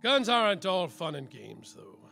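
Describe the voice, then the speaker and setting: Pompous noir detective, swooping intonation, gravelly creak, world-weary, radio mystery spoof. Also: male voice, sits high, very animated, unhurried, bright, forceful tone, exaggerated voice. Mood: moody, hard-boiled. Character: world-weary, self-important male noir private detective. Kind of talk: noir radio-play narration, slapstick. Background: music.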